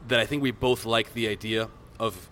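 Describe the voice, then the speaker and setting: A man from Miami co-hosting a casual podcast, thoughtful and measured, asking leading questions. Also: fairly low pitch, steady tone, a bit bright, a little hiss or hum. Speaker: podcast co-host.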